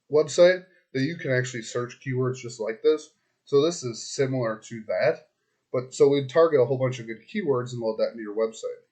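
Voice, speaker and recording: A hoarse man giving a casual screen-recorded walkthrough as a web marketing consultant. He talks straight to his client, loose and chatty, thinking aloud with lots of ums and restarts. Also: medium pitch, a little mellow.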